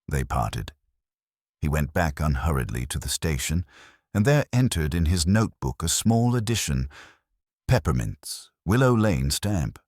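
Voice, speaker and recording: Very deep, measured male narrator. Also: very animated, darkish tone, no echo, studio quality. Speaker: narrator of a detective story. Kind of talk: scripted literary audiobook narration.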